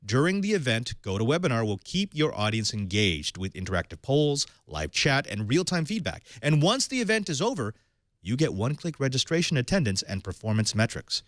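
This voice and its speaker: A man hosting a tech podcast, reading a sponsor spot and then welcoming and questioning a guest in a lively way. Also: medium pitch, brisk, dry recording, studio quality. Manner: animated, leading questions with fillers. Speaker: podcast host. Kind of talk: tech show hosting and ad read.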